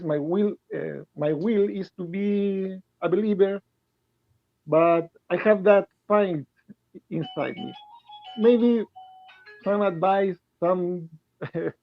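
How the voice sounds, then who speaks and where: A man from Chile with a Chilean Spanish accent, calling in to ask a question in halting English, full of restarts and nervous laughs. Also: high-pitched, vocal fry, no echo. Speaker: caller. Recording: tinny phone-like call line.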